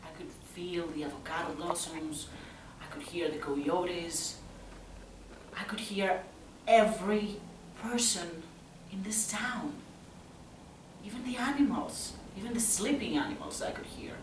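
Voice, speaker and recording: A woman acting a tense, troubled youth haunted by violent parents in a halting, intense stage monologue. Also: on the low side, natural voice. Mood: hushed, tense. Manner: slow, halting, intense, from hushed to loud. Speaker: actress performing a solo monologue. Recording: roomy, distant mic, faint noise.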